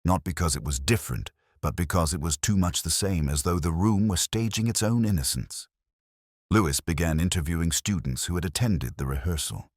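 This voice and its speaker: A middle-aged man reading a first-person detective story in a measured, brooding, literary manner. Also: low voice, no room sound, studio quality. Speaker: narrator.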